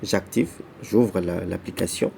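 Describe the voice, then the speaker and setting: Low gravelly man walking through an app. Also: warm tone, slight background noise. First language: not English. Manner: halting, repeats words and restarts phrases. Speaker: app tutorial presenter. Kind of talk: casual screen-recorded walkthrough.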